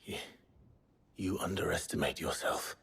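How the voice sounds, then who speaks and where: An actor playing a brooding, guilt-ridden warrior in a video game, speaking tersely in a grave, gravelly murmur. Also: male voice, deep voice, a little slow, a bit bright, heavily acted. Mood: grave concern. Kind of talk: dramatic video game acting.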